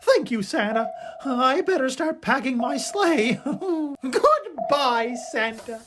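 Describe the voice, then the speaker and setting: A man's voice: very high-pitched, animated, slow pace, over music, heavily acted. A man playing a childlike, emotional Martian simpleton in an audio play, naive and whiny. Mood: tearfully happy.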